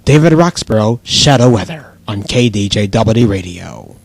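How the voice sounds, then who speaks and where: A man playing a measured, low-key radio weather and traffic reporter. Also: animated, creaky. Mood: low-key reporting.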